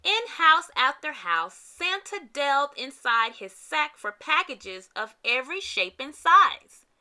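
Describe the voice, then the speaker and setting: A woman reading a children's storybook aloud with expressive, dramatic emphasis. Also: sits high, slow pace. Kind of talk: read-aloud scripted narration for kids.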